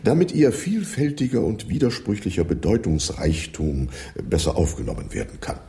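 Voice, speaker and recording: An actor playing a pompous English lord in a radio drama, brisk and ceremonious in his formal phrasing, with a creaky, mellow voice. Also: on the low side, wildly swooping pitch, heavily acted. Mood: pompous, impatient.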